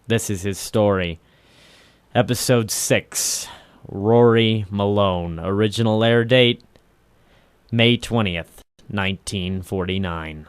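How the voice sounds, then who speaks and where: A man's voice: lowish voice, takes it slowly, clean tone, no room sound. A man presenting an old radio series with a scripted, dramatic introduction. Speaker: show presenter.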